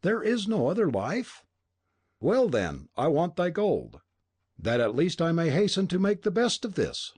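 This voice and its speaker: A man's voice: fairly high pitch, big pitch swings, unhurried, a little mellow, slightly rough, voice pushed up, exaggerated voice. A man voicing a bitter, scornful young male robber who sneers and accuses in an audiobook reading. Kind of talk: audiobook dialogue.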